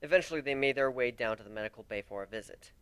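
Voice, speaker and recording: A man's voice: medium pitch, racing, a bit bright, clean tone, dry recording. A man reading scripted first-person story narration in a dramatic, brooding manner.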